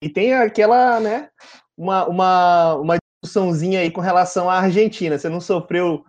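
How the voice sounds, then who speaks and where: A man's voice: high voice, a little fast, highs cut off. A man with a Brazilian Portuguese accent hosting a podcast in an informal, chatty way, checking in with frequent tag questions. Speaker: podcast host. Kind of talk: casual conversational podcast hosting.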